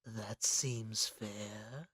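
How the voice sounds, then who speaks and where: A man voicing a sly, courteous, menacing gentleman hunter in a comic dub, speaking in a theatrical, genteel way. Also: lowish voice, fairly even intonation, very slow, very noisy. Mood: smoothly menacing. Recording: background music under voice.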